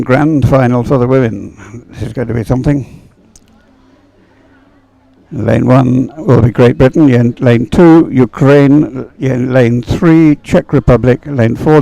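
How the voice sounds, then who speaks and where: A man's voice: medium pitch, creaky, dark tone, no room sound, low-quality recording. A man giving unscripted live sports commentary as a race commentator, rambling a little and thinking aloud.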